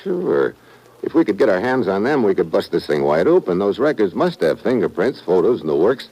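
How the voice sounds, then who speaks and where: Deep-voiced hard-boiled American agent. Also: male voice, sing-song, a little fast, dark tone, full character voice. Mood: shrewd. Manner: clipped, slangy, tough-guy delivery. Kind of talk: old-time radio thriller acting and first-person narration. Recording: noisy old radio drama recording.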